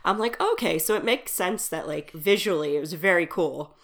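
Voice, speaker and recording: A woman's voice: on the low side, lively intonation, normal speed. A woman co-hosting a podcast with a stuffed-up nose from allergies, chatting and giggling about a movie. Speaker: podcast co-host. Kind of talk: casual movie review chat.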